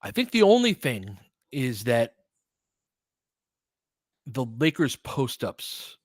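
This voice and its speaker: Male basketball play-by-play host, medium pitch, casual and conversational analysis, very dry sound. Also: very animated, slow pace, dry recording. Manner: conversational, thinking aloud. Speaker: host, fan play-by-play commentator.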